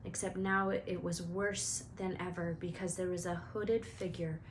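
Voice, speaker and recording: A woman's voice: fairly low pitch, little pitch movement, normal speed, slight background noise. A woman reads a storybook aloud as storyteller, narrating expressively.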